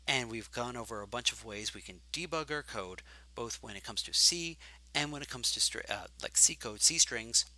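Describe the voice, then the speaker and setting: A man teaching programming in a live coding lecture, thinking aloud and correcting himself as he goes, his voice growing hoarse from long talking. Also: on the low side, sharp tone, low-quality recording. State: getting hoarse.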